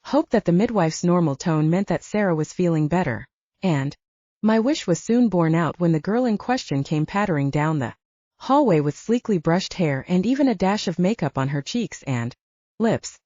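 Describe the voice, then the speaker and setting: A woman's voice: fairly low pitch, expressive, normal speed, warm tone, no echo, muffled recording. A woman narrating first-person fiction from a script, as in an audiobook.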